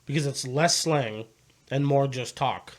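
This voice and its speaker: A man with a mid-range voice hosts a relaxed podcast conversation. He talks loosely and swears all the time. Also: medium pitch, a little slow. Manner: laid-back, swears constantly, laughs.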